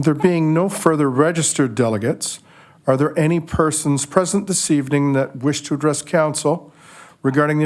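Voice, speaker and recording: A man chairs a council meeting, inviting speakers in a formal, procedural manner. His voice sits a little higher than most men's.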